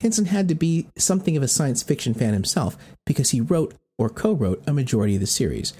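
A man's voice: mid-range voice, sing-song, fast talker, mellow, husky. An American man hosting a podcast, reading scripted narration. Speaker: podcast host.

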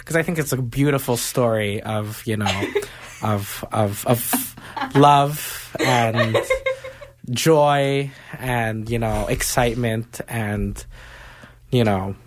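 A man's voice: medium pitch, slow, a little hiss or hum. A man hosting a casual campus interview, rambling and teasing his guests and laughing often. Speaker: host.

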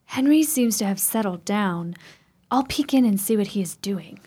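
A woman playing a bold, curious, strong-willed sister in a radio chiller, with formal, dramatic diction and a husky voice. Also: mid-range voice, expressive, normal speed, mellow. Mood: watchful. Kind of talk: theatrical radio play acting.

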